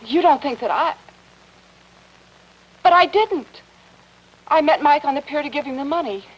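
An anxious young American wife, speaking in a fairly high voice with earnest, melodramatic delivery. The style is 1950s television drama. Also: female voice, animated, normal speed, muffled recording. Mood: distraught. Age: young adult.

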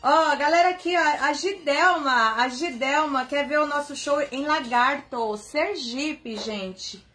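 High-voiced woman chatting live. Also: slow, hardly any pauses, fairly bright tone. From Bahia, Brazil. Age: young adult. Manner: chatty and affectionate, greeting viewers by name. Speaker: singer hosting a live stream. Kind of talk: casual live-stream chat. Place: bedroom indoors, seated on bed. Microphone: phone camera at arm's length.

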